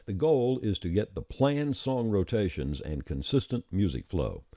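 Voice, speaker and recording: A man narrating a scripted software tutorial with folksy, informal phrasing. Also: on the low side, sing-song, unhurried, no echo, studio quality. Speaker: tutorial presenter.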